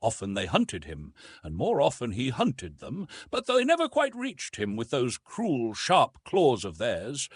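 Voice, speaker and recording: A man's voice: mid-range voice, slightly rough, no echo. A man narrating an adventure story from a script in a dramatic, theatrical way, drawing out words for emphasis. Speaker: audiobook narrator.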